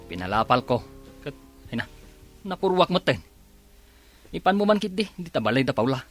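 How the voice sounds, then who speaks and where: A man's voice: mid-range voice, very animated, brisk, faint music behind, close to an everyday voice. A Filipino man acting in a radio drama as a stubborn, hot-headed farmer husband who grumbles and argues. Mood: stubborn, grumbling. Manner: grumbling, argumentative.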